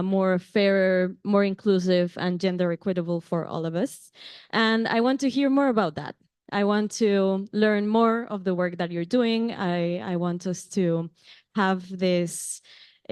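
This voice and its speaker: Mexican woman moderating a panel, smooth clear voice, lots of ums, dry close sound. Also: mid-range voice, no echo. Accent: Mexican Spanish. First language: Spanish. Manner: warm, light laughs, frequent ums and restarts. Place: conference venue.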